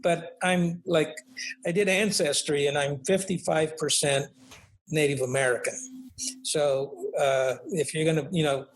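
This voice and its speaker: A man's voice: fairly high pitch, steady tone, measured pace, low-quality recording. An older man being interviewed as a guest, speaking reflectively with many fillers and tag questions. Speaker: guest, author. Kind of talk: conversational interview. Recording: faint background noise.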